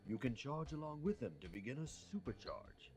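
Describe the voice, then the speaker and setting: A man's voice: mid-range voice, big pitch swings, normal speed, a little mellow, faint music behind, lightly acted. A man voicing an encouraging dragon mentor who gives instructions in a video game. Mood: encouraging, instructive. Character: male dragon mentor encouraging a young hero.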